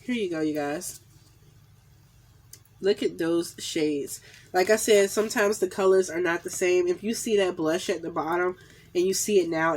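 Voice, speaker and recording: A woman in her thirties talks to her phone camera in a small room at home, her voice nasal and stuffy from a cold. She chats loosely and warmly, circling back with "um" and "definitely" as she reviews makeup. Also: on the low side. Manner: chatty, lots of fillers and repeated "definitely". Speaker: beauty vlogger. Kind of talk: casual makeup haul review. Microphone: phone camera at arm's length.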